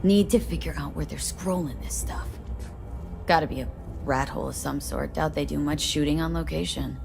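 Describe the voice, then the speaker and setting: Tough streetwise merc girl in a video game, lowish voice, blunt n wry, faint music behind. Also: moderate pace, creaky. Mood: focused. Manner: blunt, clipped, slangy.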